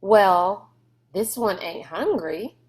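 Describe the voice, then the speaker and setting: A woman's voice: medium pitch, animated, slow pace. A woman voicing a timid, cautious country boy in a storybook, with hesitant, folksy dialect. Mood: wary.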